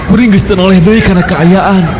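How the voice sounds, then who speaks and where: A man's voice: high-pitched, moderate pace, a crowd in the background. A man with a Sundanese accent narrating a first-person scary folk tale dramatically, repeating phrases for suspense. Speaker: storyteller. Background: background music.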